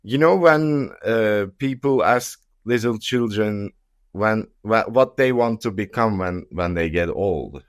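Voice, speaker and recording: A middle-aged man from Istanbul with a Turkish accent, telling his own story as an interview guest in a measured, deliberate way. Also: on the low side, dry recording, clean studio sound. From Istanbul, Turkey. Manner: measured, deliberate, pausing between phrases. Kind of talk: conversational personal storytelling in an interview.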